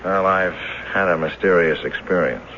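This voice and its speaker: An actor playing an earnest, stubborn husband with a deliberate manner in a mystery radio drama. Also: male voice, low voice, bright, forceful tone. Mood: thoughtful, uneasy. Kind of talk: old-time radio drama acting. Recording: muffled noisy radio drama recording.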